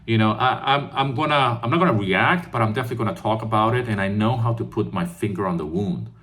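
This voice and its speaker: A man in his fifties with a fairly low voice, a composer from Venezuela vlogging to his viewers. He talks earnestly and loosely, repeating words as he restarts and tagging his points with "right?". It sounds like a phone recording. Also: fast, a bit bright. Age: 50s. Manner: earnest, repetitive restarts, frequent "right?" tags. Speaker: composer and online music educator. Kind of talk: casual talking-head vlog.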